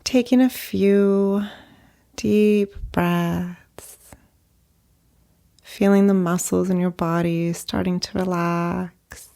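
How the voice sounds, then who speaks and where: Female meditation guide, soft and soothing, dark mellow tone, unhurried delivery in short, spaced-out phrases. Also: fairly low pitch, steady tone, creaky. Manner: gentle, unhurried, with long pauses between short phrases.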